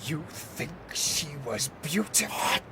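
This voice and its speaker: A slow-spoken, tormented young scientist. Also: male voice, fairly high pitch, sing-song, heavy background noise, exaggerated voice. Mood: agitated. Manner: grave, literary, theatrical. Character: tormented, guilt-ridden young male scientist. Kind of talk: dramatised audiobook acting and first-person narration. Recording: loud music behind the voice.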